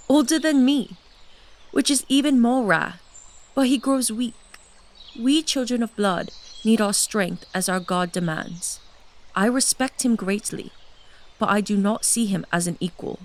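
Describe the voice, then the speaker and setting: A woman's voice: a little high, unhurried. A woman voicing an ancient, seductive and domineering blood-drinking noblewoman in a fantasy audio drama. She speaks in a silky, confident, commanding way. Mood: scheming. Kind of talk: full cast audio drama acting.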